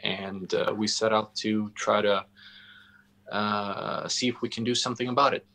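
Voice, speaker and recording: A man in his forties with a Swedish accent, speaking haltingly and deliberately as he answers interview questions, often searching for words. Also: lowish voice, fairly even intonation, pushed and bright. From Sweden. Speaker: interview guest, coffee roastery founder. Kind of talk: interview answers on a video call. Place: indoor room, on a video call. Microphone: video call microphone.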